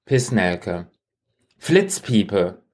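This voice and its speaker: A man's voice: on the low side, very animated, drawn-out words, darkish tone. A man bantering casually as a podcast co-host in a playful, teasing way.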